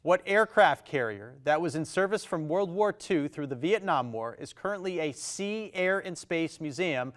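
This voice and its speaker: This is a man hosting a quiz show in a TV studio, speaking with a Maine accent. His voice sits a little high, and he moves through questions at a brisk, upbeat clip, tossing off quick reactions to each answer. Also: lively intonation, a bit bright. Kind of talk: reading quiz questions on air. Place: television studio.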